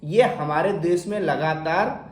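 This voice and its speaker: A man with a sore throat explaining investing to viewers, often clearing his throat and asking questions he then answers himself. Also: on the high side, measured pace, roomy sound. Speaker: finance explainer channel host. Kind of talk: informal explainer talk to camera.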